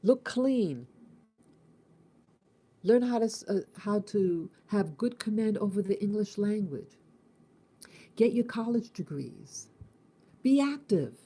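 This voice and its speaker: A woman in her late fifties from the United States with a deeply mellow, dark tone. She talks through a morning reflection as the host. She thinks aloud, restarting phrases and circling back on her words. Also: lively intonation, husky. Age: 50s. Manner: halting, repeats and restarts, musing. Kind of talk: casual spoken morning reflection.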